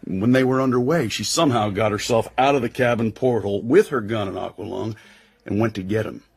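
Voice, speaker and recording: An actor with an American accent playing a tough, loyal intelligence agent in a radio drama, husky, wry and slangy. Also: male voice, fairly low pitch, a little fast, darkish tone. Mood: blunt, admiring.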